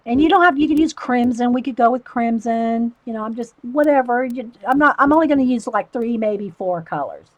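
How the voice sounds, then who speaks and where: A woman with a loud voice, chatting casually to livestream viewers while she shows a coloring technique. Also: a little high, brisk, hardly any pauses, no room sound, lo-fi sound. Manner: rambling, talks to chat viewers by name.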